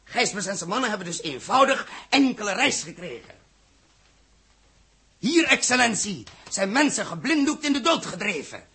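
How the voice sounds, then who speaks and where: Een acteur die in een hoorspel uit de jaren zestig een felle, verontwaardigde ruimtekapitein speelt, gepassioneerd en beschuldigend. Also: male voice, high-pitched, big pitch swings, moderate pace, sharp tone, breathy edge, full character voice. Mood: urgent, demanding. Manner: impassioned, accusatory, rhetorical. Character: fiery, indignant male space captain confronting the government. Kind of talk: 1960s Dutch radio drama acting.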